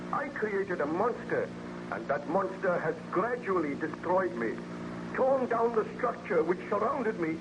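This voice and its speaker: A tormented, weakened nobleman in an old radio melodrama, with a high-pitched male voice and formal, dramatic delivery. The aged recording carries clear noise. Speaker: actor in a radio serial.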